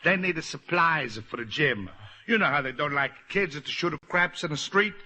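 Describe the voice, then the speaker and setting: A man's voice: a little high, animated, normal speed, sharp tone, exaggerated voice. An actor playing a sly, overbearing Italian immigrant father who wheedles and boasts in broken English with an Italian accent, in old radio comedy style. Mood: boastful, jovial. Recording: noisy vintage radio recording.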